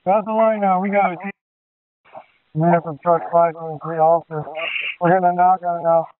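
A man's voice: high-pitched, little pitch movement, moderate pace. A male firefighter giving brief status reports over a two-way radio. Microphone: handheld radio. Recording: tinny band-limited two-way radio traffic.

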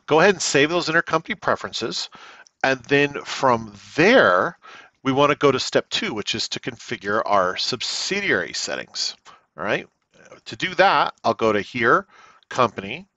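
A man giving an unscripted, explanatory software walkthrough in a conversational way, often checking in with the listener. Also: mid-range voice, no room sound, muffled recording. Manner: conversational, checks in with "okay?" and "all right?". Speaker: software tutorial presenter.

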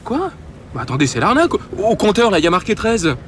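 A panicky, bossy young man with a high voice. He is impatient and foul-mouthed in radio drama style, over clear traffic noise. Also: wildly swooping pitch, fast, a bit bright, full character voice. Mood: bossy, indignant. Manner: impatient, swearing, slangy. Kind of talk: French radio drama acting. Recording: street and car noise behind.

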